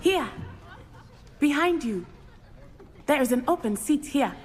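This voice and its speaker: A woman's voice: sits high, expressive, takes it slowly, big-room sound, some chatter behind, a little hiss or hum. A woman voicing a friendly, welcoming young wizard student. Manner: welcoming, chatty.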